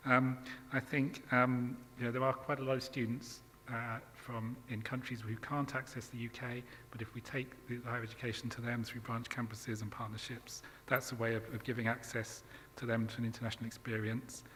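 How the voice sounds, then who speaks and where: A man's voice: mid-range voice, flat delivery, brisk, hardly any pauses, sharp tone, in a large hall, slight background noise. A man answering questions on a panel, hesitant with frequent fillers.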